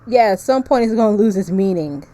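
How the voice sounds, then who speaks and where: A woman with a soft-edged, mellow voice streams a narrative game. She moves between casual commentary and reading the text aloud, at a middling pitch for a woman. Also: expressive, fairly quick. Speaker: streamer playing a visual novel.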